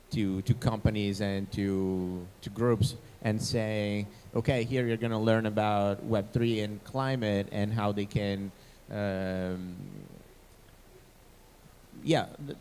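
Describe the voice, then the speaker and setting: A man moderating a panel who speaks quickly and pitches ideas with enthusiasm. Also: a little mellow, slight background noise. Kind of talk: online panel discussion.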